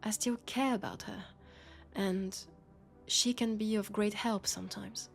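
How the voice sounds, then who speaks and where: A woman with a French accent playing a weary, guarded policewoman confiding quietly and hesitantly in an intimate sci-fi audio roleplay. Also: medium pitch, fairly even intonation, a little slow, vocal fry, faint music behind. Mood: reluctant, confessing.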